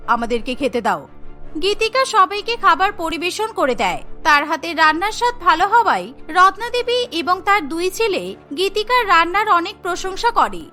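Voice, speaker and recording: Story narrator, woman: very high, bright, forward voice for scripted cartoon tales, with a music bed. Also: big pitch swings, moderate pace.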